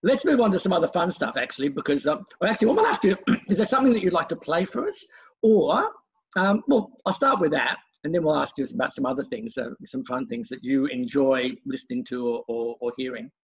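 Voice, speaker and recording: A man's voice: a little high, lively intonation, fairly quick, creaky, slightly rough, lo-fi sound. A man hosting a casual, chatty interview in an encouraging, effusive way. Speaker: interviewer, host.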